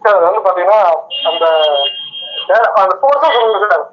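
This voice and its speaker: A man's voice: high-pitched, lively intonation, fairly quick. A man, answering deferentially and eagerly as an aspiring actor over a muffled phone line. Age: 20s. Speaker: aspiring actor being prank called. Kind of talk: phone audition, casual answers.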